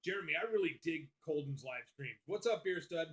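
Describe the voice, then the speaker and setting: A man hosts a casual live stream, answering his viewers one by one in a loose, profane way. His voice sits a bit high for a man. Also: expressive, a little fast. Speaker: livestream host.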